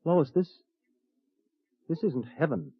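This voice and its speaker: A cautious young husband in a vintage radio play. He talks hesitantly, and he sounds doubtful. His voice is mid-pitched, and the sound is dry and thin, like a telephone. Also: takes it slowly, slightly rough, no room sound. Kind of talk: 1950s radio drama acting. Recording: tinny, dry old radio broadcast.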